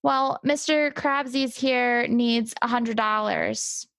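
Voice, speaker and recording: A woman's voice: fairly high pitch, steady tone, slow, creaky, a bit bright, no room sound. A woman podcast host improvising comedy as herself, conversational, in a bemused straight role.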